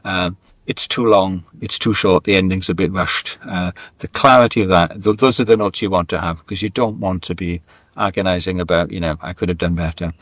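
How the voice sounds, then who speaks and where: A man talking as an interviewed writer, conversational and thoughtful, halting and repeating words for emphasis. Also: low-pitched, fairly even intonation, a bit bright, no room sound.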